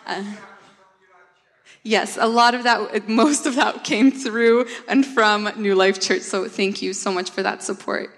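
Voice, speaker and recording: A woman's voice: medium pitch, fairly even intonation, unhurried, a bit bright. A woman with a mid-range voice gives a church mission update, plainspoken and earnest, thinking aloud as she goes. The sound carries a long reverb from a church hall. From Canada. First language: Russian. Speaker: campus ministry worker.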